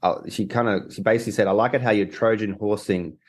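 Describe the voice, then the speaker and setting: A man's voice: lowish voice, fairly even intonation, fast talker. A young man, a documentary co-director, answering interview questions in a rambling way with many restarts. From Australia.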